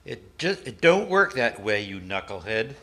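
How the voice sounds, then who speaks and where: Man acting a sneering, bright-edged radio gangster. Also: on the low side, sing-song, a little slow, full character voice. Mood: menacing. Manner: sneering, blunt, slangy. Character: menacing male thug, sneering, slangy hitman. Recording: noisy amateur live stage recording.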